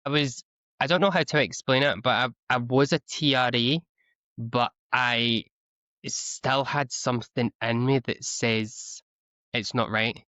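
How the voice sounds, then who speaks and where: A Scottish man in a candid interview, talking earnestly and often restarting and repeating himself. His voice sits at an ordinary middle pitch, recorded dry with a slightly muffled, lo-fi sound. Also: fairly even intonation, a little slow, sharp tone, no room sound. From Scotland. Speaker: guest. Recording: slightly dull, lo-fi audio.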